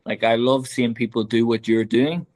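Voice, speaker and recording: A man's voice: mid-range voice, steady tone, normal speed, darkish tone. A man from Northern Ireland with a Northern Irish accent, a golf director talking earnestly and heartfelt as a podcast guest. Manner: earnest, heartfelt, repeats "very much like". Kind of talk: casual conversational interview.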